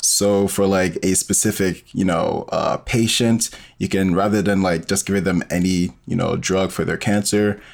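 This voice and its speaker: A man's voice: sits low, fairly even intonation, moderate pace, gravelly, few pauses. A man speaking as an interview guest, explaining things informally and step by step with many hesitant fillers. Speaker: podcast guest, bioinformatics professional and storyteller. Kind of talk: casual explanatory interview talk.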